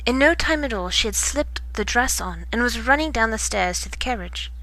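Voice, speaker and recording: A woman reading a children's bedtime story in expressive storybook narration. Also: a little high, moderate pace, rough recording.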